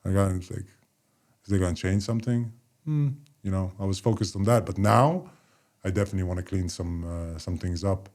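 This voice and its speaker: A man in his forties with a very low voice co-hosts a podcast. He talks about himself in a loose, unhurried way, drifting between thoughts and leaning on plenty of fillers. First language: Polish. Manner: relaxed, loose, rambling with many fillers. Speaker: podcast co-host, former basketball player. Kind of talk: casual conversation.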